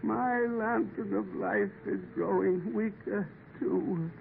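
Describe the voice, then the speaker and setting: A man's voice: high-pitched, takes it slowly, gravelly, a little hiss or hum, heavily acted. An actor playing a saintly old parson on his deathbed, speaking fervently and pleading to be believed. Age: older. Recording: tinny old radio broadcast with music.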